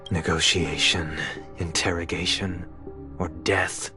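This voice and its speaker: A man voicing a weary, enigmatic fatalist in a game, terse, breathy and ominous, with music underneath. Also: sits low, little pitch movement, takes it slowly, bright, forceful tone, full character voice. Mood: resigned, ominous. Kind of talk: game voice acting. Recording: background music under the dialogue.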